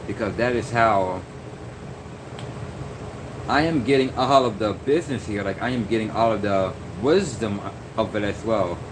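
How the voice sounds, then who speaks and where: A man's voice: on the low side, moderate pace. A young man talking in a loose, rambling vlog monologue, full of fillers and restarts. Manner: meandering, many fillers and restarts. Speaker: vlogger. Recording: noisy background hum.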